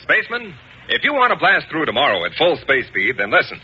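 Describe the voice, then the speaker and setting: A man's voice: fairly high pitch, wildly swooping pitch, fairly quick, very noisy. A man delivering a playful 1950s radio commercial as an announcer. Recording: muffled old radio broadcast.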